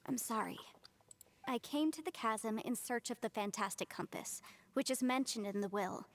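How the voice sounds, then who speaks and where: A woman playing a polite, composed young scholar in an anime game, speaking in a measured, formal, earnest way. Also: on the high side, natural voice. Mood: earnest, apologetic. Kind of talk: anime video game voice acting.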